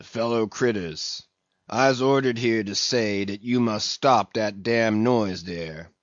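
A man voicing a sullen, limping old ship's cook who mumbles a slow sermon, on a dull lo-fi recording. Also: lowish voice, no room sound. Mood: grumbling.

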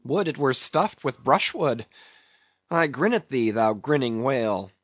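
A man's voice: mid-range voice, very animated, measured pace, a bit bright, slightly rough, full character voice. A man voicing a grimly jocular, defiant second mate with a wry, taunting manner in an audiobook. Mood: grim defiance. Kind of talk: dramatic audiobook character acting. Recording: roomy, slightly dull recording.

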